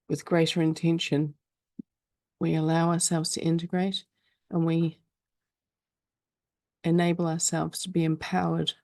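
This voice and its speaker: A woman guides a meditation in a calm, soothing manner. Her voice is mellow, with a heavy creak at its edges, and it sits very close and dry. She leaves frequent pauses between phrases. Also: sits low, no echo.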